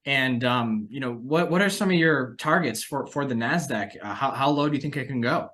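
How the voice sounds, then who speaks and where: Man hosting market talk, mid-pitched. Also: moderate pace, a bit bright. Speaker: host and market analyst. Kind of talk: conversational market discussion.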